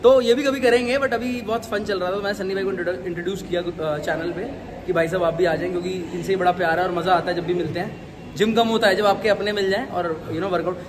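A man's voice: high voice, fairly even intonation, fast. A man in his thirties chatting casually to a phone camera for his vlog, mixing English words into Hindi. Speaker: vlogger. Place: gym, then home, then outside a temple at night. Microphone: phone camera at arm's length. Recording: noisy phone vlog audio.

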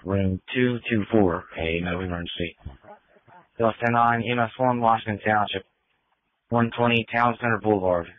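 A man's voice: on the low side, dry recording. A man working as an emergency dispatcher, reading fire and EMS calls over a two-way radio in clipped, formal phrasing. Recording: phone-like fire radio transmission.

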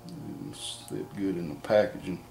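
A man's voice: sits low, big pitch swings, vocal fry, a little mellow, soft background music, poor audio. Plain-spoken man in a workshop. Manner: plain-spoken and unhurried, thinking aloud while working. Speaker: home mechanic demonstrating an engine rebuild. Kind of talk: casual hands-on how-to. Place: barn or garage workshop, indoors.

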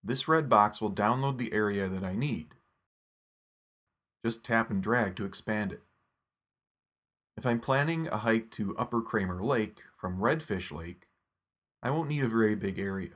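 Guy doing a step by step app walkthrough, kinda low voice, close mic voice-over. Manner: steady, instructive step-by-step delivery. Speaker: app tutorial presenter. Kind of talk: scripted screen-recording tutorial narration.

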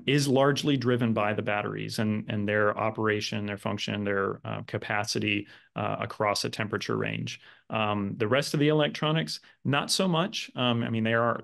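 A man with a lowish voice and noticeable vocal fry fields questions as a company representative. He explains in a measured way, pausing and searching as he goes. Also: a bit bright. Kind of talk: webinar question-and-answer talk.

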